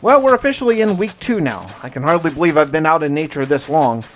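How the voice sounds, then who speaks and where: A man's voice: on the high side, lively intonation, fast talker. A man narrating a survival game diary in the first person, conversational and musing, with wry asides. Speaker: gaming video narrator. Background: music.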